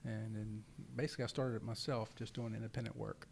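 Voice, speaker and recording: A man being interviewed in his office about his safety consulting company, speaking calmly and evenly. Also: on the low side, creaky, slight background noise. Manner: calm, measured. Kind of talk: interview answers.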